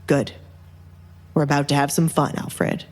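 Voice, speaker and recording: A woman voicing a stern, commanding young duke with a clipped, deadpan manner in a dramatized audio romance. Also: low voice, a little slow, pitched below the performer's own voice. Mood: cold, amused. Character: stern, commanding young duke, coolly teasing his butler.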